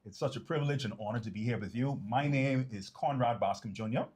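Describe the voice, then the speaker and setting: A Bahamian man who serves as a minister speaks as a guest on a talk show, his voice a little husky. He is courteous and measured, telling stories at an even, deliberate pace. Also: medium pitch. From Grand Bahama. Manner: polite, measured storytelling.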